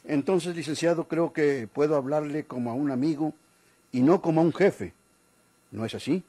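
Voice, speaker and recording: Guy doing a proud old mexican retired cop, old radio soap style, soft dark voice, polite but wont let it go. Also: a little high, normal speed, natural voice. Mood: deferential, probing. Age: older. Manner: deferential yet insistent, formal. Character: proud aging retired policeman, stubborn and aggrieved. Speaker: actor. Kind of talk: Mexican golden-age radio drama acting.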